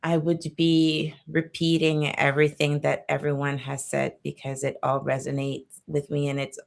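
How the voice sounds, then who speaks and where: A woman from Cameroon who grew up in Canada talks on a video-call panel. Her voice sits very low and gravelly, with an even, narrow melody, and she picks her words reflectively. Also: moderate pace. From born in Cameroon, raised in Canada. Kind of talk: panel discussion.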